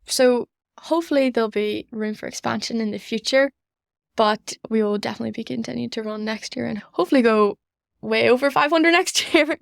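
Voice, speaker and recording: A woman's voice: fairly high pitch, fairly quick, gravelly, no echo, studio quality. A young woman, chatting earnestly as an interview guest. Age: young adult. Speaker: podcast guest, student society organiser. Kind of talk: conversational interview answers.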